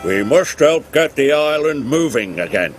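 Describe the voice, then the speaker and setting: A man voicing a stern, stout railway boss who booms his orders in a storybook. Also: big pitch swings, a little slow. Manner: booming and commanding. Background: background music.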